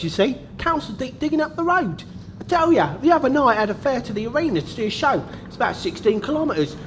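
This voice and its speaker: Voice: high voice, a bit bright, slight background noise, full character voice. A performer playing a matey, rambling male cab driver in a comic radio sketch. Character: chatty, grumbling male London cab driver.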